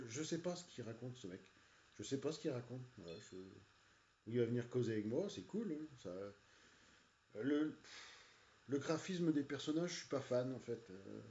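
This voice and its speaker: An irritated man rambles along in casual, halting speech, stuffing his sentences with fillers and false starts. His voice is dark and mellow. It sounds dull and lo-fi, and it echoes around a hollow room. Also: mid-range voice. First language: French. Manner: hesitant, many "euh" and "voilà", annoyed. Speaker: video game reviewer. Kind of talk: casual rant while playing a game.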